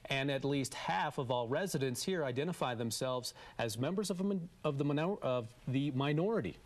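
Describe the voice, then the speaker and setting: A man's voice: mid-range voice, lively intonation, fairly quick. Male American news anchor, mid-range voice, scripted morning bulletin delivery.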